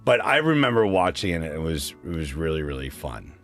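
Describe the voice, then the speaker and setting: A man's voice: extremely low pitch, big pitch swings, fairly quick. A Canadian man hosting a children's radio show, chatting casually and encouragingly and putting quiz questions to young listeners. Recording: music playing behind the voice.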